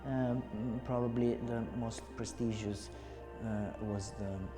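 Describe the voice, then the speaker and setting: A middle-aged Italian man with an Italian accent, interviewing a writer in a halting way, with many filler sounds. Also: medium pitch, fairly even intonation, big-room sound, over music. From Italy. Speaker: interviewer and translator. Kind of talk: hesitant conversational interview.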